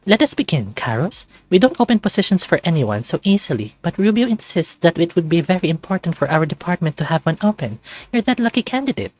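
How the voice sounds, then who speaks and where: Man putting on a high-voiced brisk female lab supervisor. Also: big pitch swings, a little mellow, no room sound. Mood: brisk, probing.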